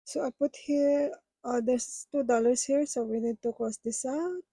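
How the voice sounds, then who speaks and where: A woman whose first language is Filipino talks to her viewers in a slow, unhurried way, often stopping and restarting her sentences. Her voice sits a little high but sounds mellow and breathy. The recording is close and dry, with almost no room sound. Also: fairly even intonation, no echo. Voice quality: halting, hesitant phrasing. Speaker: budgeting vlogger. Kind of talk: casual chat with viewers.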